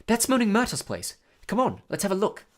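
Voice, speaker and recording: A narrator voicing a curious, sensible schoolgirl with a brisk, inquisitive manner, in an audiobook story. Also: mid-range voice, huge pitch swings, voice pushed up.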